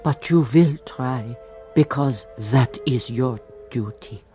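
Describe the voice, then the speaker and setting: A reserved, cryptic onlooker played by a man in a radio drama. The voice is medium in pitch and politely evasive, over clearly audible music. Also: slow pace, mellow, lightly acted. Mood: polite, guarded. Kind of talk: dramatic radio play acting.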